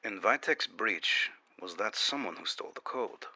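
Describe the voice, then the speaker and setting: A man playing a stern, controlled secret agent in an audio drama, questioning a witness in a quiet, terse, probing way. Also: fairly low pitch, lively intonation, moderate pace, full character voice, low-quality recording. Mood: grave, probing. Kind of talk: dramatic audio fiction interview.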